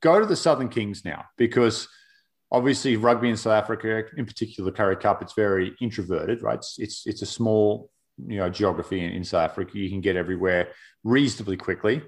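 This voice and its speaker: Lowish-voiced male interviewer. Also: fairly quick. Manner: relaxed, chatty questioning. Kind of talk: casual interview questions.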